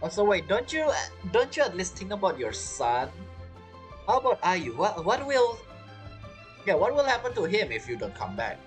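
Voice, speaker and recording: Casual male game streamer, lively swinging intonation, halting, repetitive reactions to characters, faint music behind. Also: high voice. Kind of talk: casual let's play commentary.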